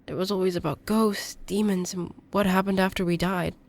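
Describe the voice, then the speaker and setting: An actress playing an earnest young female exorcist in an audio drama, halting and emotional. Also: mid-range voice, fairly even intonation, natural voice. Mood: somber recollection. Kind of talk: intimate audio drama acting.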